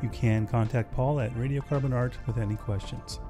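A man reading scripted instructional narration in a measured, formal way. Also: medium pitch, steady tone. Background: music.

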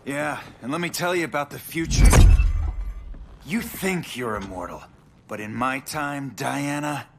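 An actor playing a smug, cold, mocking supervillain in a video game. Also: male voice, mid-range voice, lively intonation, measured pace, creaky. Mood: smug threat. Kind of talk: video game cutscene acting. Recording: loud noise behind the voice.

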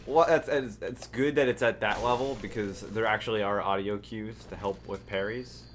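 A man's voice: fairly low pitch, normal speed, a little hiss or hum. A young man co-hosting a casual gaming chat, easygoing with short replies. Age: young adult. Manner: easygoing, short replies, gets excited during fights. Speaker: gaming co-host. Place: living room in front of a TV.

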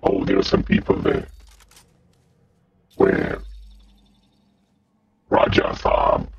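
A man voicing a slow, guttural demon who calmly bosses others about while commentating on a video game, through a deep voice filter. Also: soft background music. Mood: calm, musing. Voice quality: guttural, growling, gravelly. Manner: slow, drawn-out, deliberate short phrases. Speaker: gaming channel host. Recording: muffled lo-fi audio, faint noise.

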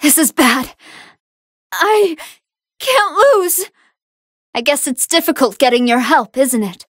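A woman's voice: high voice, lively intonation, slow, a bit bright, dry recording, heavily acted. A woman playing a good-hearted female android fighter in an anime fighting game, earnest and polite, with emphatic battle lines. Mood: earnest, troubled.

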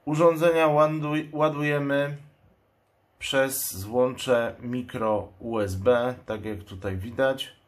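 Slow-paced man presenting a product. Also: medium pitch, steady tone. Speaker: product reviewer and channel host. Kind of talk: unboxing commentary. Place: indoors at a table. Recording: clear background noise, crinkling packaging. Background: noise, plastic packaging crinkles and clicks.

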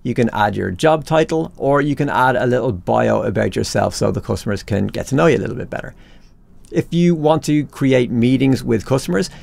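A man narrating an instructional software screencast in a casual, conversational, explanatory way, recorded as a close voice-over. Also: on the low side, creaky. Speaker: software tutorial presenter.

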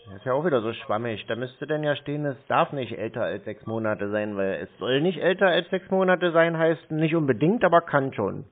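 A man's voice: medium pitch, lively intonation, brisk, few pauses. A man baiting a scam caller on the phone, talking in a dry, needling and pedantic way. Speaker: scam baiter on a phone call. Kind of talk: phone conversation. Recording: tinny phone line.

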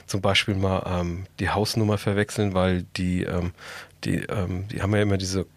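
Male podcast co-host, lowish pitch, blunt colloquial chat, dry close mic. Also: level tone, normal speed, dry recording. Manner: colloquial and blunt, with mild swearing. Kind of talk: casual chat.

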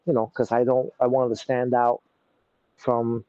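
New york guy in his 30s, laid back and rambly, mellow voice, dull lo-fi video call sound. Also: medium pitch, a little slow, no echo. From Brooklyn, New York. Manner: relaxed, rambling with many fillers. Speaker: guest artist. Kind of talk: casual interview chat. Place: outdoors in a city courtyard.

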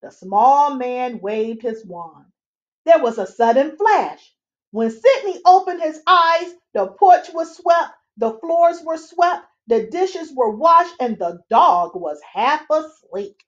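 Expressive woman reading a storybook aloud. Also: high voice, slow pace. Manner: expressive, animated. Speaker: read-aloud reader. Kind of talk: children's storybook read-aloud. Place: room at home, indoors. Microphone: webcam at a desk. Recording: dull lo-fi webcam audio.

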